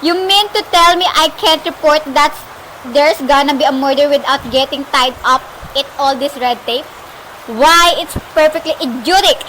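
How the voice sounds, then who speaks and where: A woman's voice: sits high, normal speed, fairly bright tone, rough recording. A woman playing an anxious, demanding, indignant married woman on the telephone in an amateur radio play. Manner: fretful, demanding, exclaiming. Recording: faint background noise, amateur home recording.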